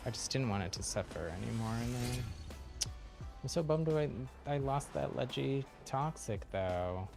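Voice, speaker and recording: A man's voice: mid-range voice, animated, moderate pace, gravelly. A man giving casual live commentary as a game streamer, mild-mannered with clean exclamations. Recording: game music behind the voice. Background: loud game music.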